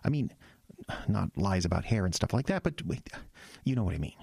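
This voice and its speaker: Casting: earnest young pastor, lowish voice, animated pitch, halting and troubled, radio play. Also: male voice, creaky, breathy edge, no room sound, clean studio sound, exaggerated voice. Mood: earnest, hesitant. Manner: halting, stammering, trailing off. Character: earnest, hesitant young small-town pastor, kind and troubled. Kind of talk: audio drama dialogue.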